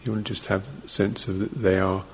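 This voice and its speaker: A man teaching guided meditation, speaking in short, gentle fragments with long pauses between them. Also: sits low, little pitch movement, a little hiss or hum, low-quality recording.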